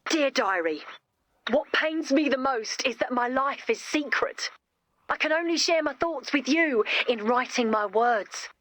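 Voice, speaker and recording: A woman voicing a gentle, lonely monster's diary in a video game, earnest and dramatic. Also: high-pitched, lively intonation, a little slow, no room sound, clean studio sound, exaggerated voice. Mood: sorrowful, confiding. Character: gentle, lonely female monster reading her secret diary, wistful.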